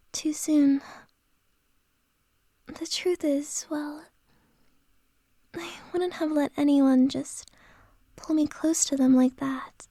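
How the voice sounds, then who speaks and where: A woman's voice: sits high, dry recording. A woman playing a whispering, flustered lady boss. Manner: soft whispering, hesitant and halting. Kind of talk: ASMR roleplay.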